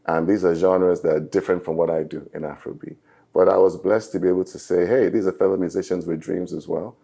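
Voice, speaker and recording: A middle-aged man from Nigeria, a native Yoruba speaker, with a very low, gravelly voice and a mellow, dark tone. He talks in earnest, upbeat phrases, measured and reflective. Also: steady tone. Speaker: musician guest. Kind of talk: reflective interview talk.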